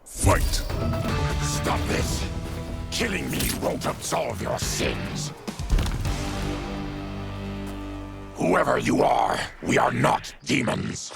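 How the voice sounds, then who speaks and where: A man playing an afflicted, honorable mutant warrior in a video game, gruff and gravelly, with formal, forceful speech. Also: medium pitch, huge pitch swings, slow, heavily acted. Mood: urgent. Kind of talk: video game cutscene acting. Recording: loud music behind the voice.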